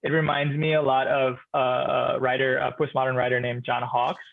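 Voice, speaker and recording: A man speaking as a literature professor in a relaxed expert discussion, thoughtful and hesitant, with many restarts and filler sounds. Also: medium pitch, normal speed, creaky, narrow, tinny audio.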